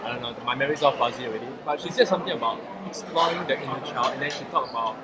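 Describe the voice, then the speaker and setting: A man's voice: fairly high pitch, heavy background noise. A nineteen-year-old man with a Singaporean English accent, a poet answering interview questions casually and hesitantly, with many fillers and restarts. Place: food court, outdoors.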